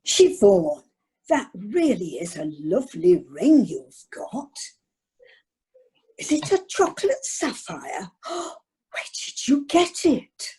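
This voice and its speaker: A woman playing a spoilt, wheedling wife with a husky voice in an amateur radio comedy. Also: medium pitch, very animated, takes it slowly, warm tone, full character voice. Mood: gushing, wheedling. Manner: gushing, pointed, complaining.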